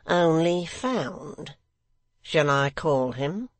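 A man's voice: fairly high pitch, lively intonation, very slow, no room sound, voice pushed up. A man voicing a sly, mock-courteous blind extortioner in a theatrical, insistent storybook reading. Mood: coolly menacing.

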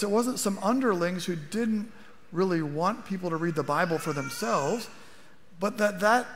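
A man's voice: high voice, creaky. A middle-aged man preaching a sermon from a church stage in a conversational style with wry asides, speaking into a headset mic. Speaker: pastor. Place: large church auditorium stage. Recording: long hall reverb.

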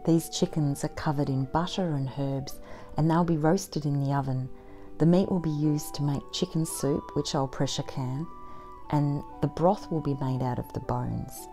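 Low, mellow woman narrating her homestead. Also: steady tone. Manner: gentle, measured and matter-of-fact. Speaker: homesteading vlogger. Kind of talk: calm scripted voice-over narration. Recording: voice-over with soft background music. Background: faint instrumental music.

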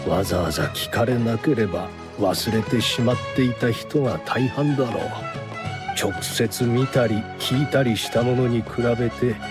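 An actor playing a courteous older gentleman host in an anime game, speaking in a polite, measured, mature manner. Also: mid-range voice, lightly acted. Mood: calm, thoughtful.

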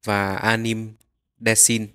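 A man narrating a step-by-step software tutorial, explaining each click as he goes. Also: on the low side, flat delivery, slow pace, clean tone.

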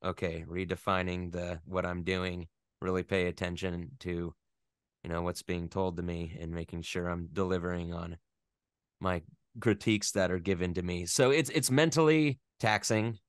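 A young American man from the West Coast talking about his work in a casual interview, rambling and animated, often laughing at himself. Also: sits low, normal speed, clear, resonant tone, no echo, studio quality. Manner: rambling, animated, self-deprecating. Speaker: voice actor guest.

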